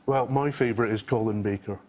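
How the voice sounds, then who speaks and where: A man, chatting as a quiz contestant, pausing with uh and um. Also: lowish voice, a little mellow. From Scotland. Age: 40s. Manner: hesitant, fills with uh and um. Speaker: quiz team captain. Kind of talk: casual interview chat. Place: TV studio.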